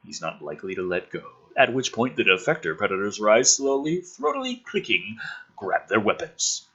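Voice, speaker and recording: Man, raspy, intense bright delivery, theatrical script narration, sarcastic, exasperated, profane asides. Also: lowish voice, dull audio. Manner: theatrical delivery with sudden emphasis. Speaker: host reading and mocking a film script. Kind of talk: dramatic script reading with sarcastic running commentary.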